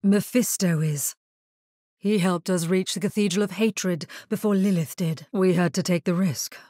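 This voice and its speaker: Husky, grave necromancer heroine, acted by a woman. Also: fairly low pitch, normal speed, warm tone, dry recording, studio quality, lightly acted. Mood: grave, resolute. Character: grave, resolute female necromancer hero. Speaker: video game voice actor. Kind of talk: scripted video game character lines.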